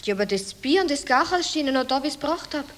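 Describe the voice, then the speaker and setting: A woman's voice: sits high, lively intonation, fast talker, a bit bright. A woman in a 1960 radio drama playing an apologetic, servile Viennese pub server. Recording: old radio recording, faint noise.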